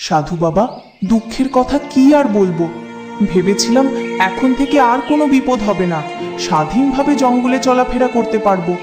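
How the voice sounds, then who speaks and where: Woman voicing an excitable, high-pitched little cartoon cat. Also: normal speed, close to an everyday voice. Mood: pleading. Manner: exclamatory, with meowing. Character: small excitable, timid mouse turned into a cat. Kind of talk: children's moral cartoon acting. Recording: echoey, music behind.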